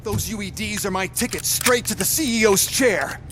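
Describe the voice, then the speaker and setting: An actor playing a smug, ambitious schemer in a video game, with a condescending, impatient and commanding manner. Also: male voice, high voice, moderate pace, music in the background, close to an everyday voice.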